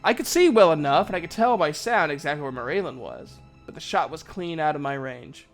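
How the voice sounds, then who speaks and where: A man's voice: a little high, expressive, brisk, soft background music. A man narrating a fantasy story in the first person, in a folksy, conversational storyteller's manner. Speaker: narrator, voice actor.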